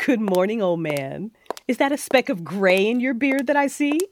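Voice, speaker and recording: A woman's voice: a little high, animated, a little slow, slight background noise. A woman playing a teasing, confident and bossy superhero fighter in an audio drama. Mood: affectionate teasing. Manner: teasing, assertive.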